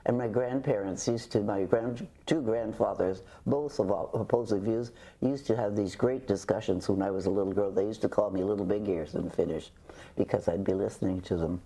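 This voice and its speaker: Older woman with a husky, mellow voice. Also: a bass voice. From Canada. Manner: halting, with frequent restarts and hesitations. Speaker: interview guest. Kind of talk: reflective conversational storytelling.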